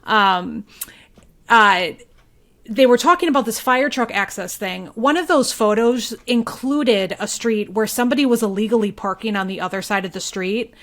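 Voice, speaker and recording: A woman's voice: on the high side, normal speed, a bit bright. A woman speaking as a guest journalist, talking through local news conversationally and qualifying her points as she explains.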